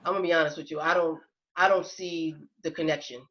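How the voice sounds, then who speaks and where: A woman's voice: sits low, steady tone, fast, muffled recording. A woman speaking frankly on a casual panel discussion, hesitant with many fillers.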